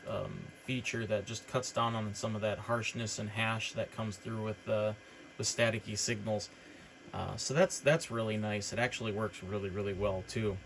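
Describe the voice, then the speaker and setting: A man giving an informal, unscripted product walkthrough as a hobbyist presenter, explaining features in a hesitant way. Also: fairly low pitch. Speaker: hobbyist presenter demonstrating radio gear. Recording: clearly noisy background.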